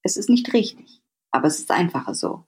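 Author reading, woman, slightly low husky voice, literary first-person prose. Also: big pitch swings, a little fast, darkish tone. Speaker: author reading from own novel.

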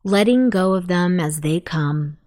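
Very slow-speaking woman guiding sleep meditation. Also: on the low side, lively intonation, darkish tone. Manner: soothing, unhurried, deliberate. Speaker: meditation guide. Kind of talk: guided sleep meditation narration.

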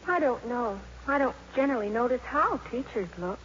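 High-pitched, flustered teenage schoolgirl. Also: animated, normal speed, fairly bright tone. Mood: indignant. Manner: stammering, breathless, easily flustered. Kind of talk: 1950s radio drama acting. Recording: muffled, noisy 1950s radio recording.

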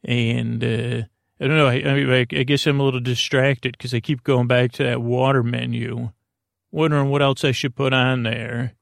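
A man with a creaky voice hosting a bedtime podcast, talking in a meandering, halting, digressive monologue. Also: mid-range voice, level tone, no room sound, clean studio sound. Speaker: podcast host.

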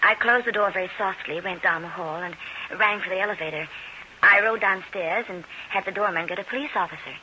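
A poised femme fatale in an old radio mystery. She is falsely sweet and coaxing, with a very bright, cutting tone. A faint hiss runs under the recording. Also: medium pitch, fairly quick, rough recording. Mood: poised, confiding. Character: smooth, scheming female hostess, falsely sweet. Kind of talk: old-time radio drama acting.

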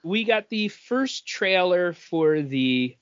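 A man's voice: high voice, animated, slow, clear, resonant tone, dry recording. A middle-aged man co-hosting a podcast, chatting casually about entertainment news in a rambling, anecdotal way. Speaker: podcast co-host. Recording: dull lo-fi sound.

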